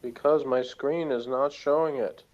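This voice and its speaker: A man's voice: mid-range voice, fairly even intonation, unhurried, a little mellow, dull audio. A man chatting casually as a guest on an online call, with dry, teasing banter. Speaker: guest co-host. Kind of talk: casual chat. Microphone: online video call.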